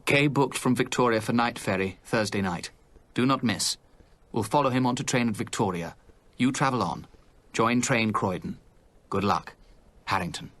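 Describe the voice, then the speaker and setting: An actor playing a grave, guarded British gentleman in a radio drama, with a husky voice and a measured, sombre manner. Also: medium pitch, lightly acted. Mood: urgent. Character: grave, guarded gentleman, steadfast confederate in a plot.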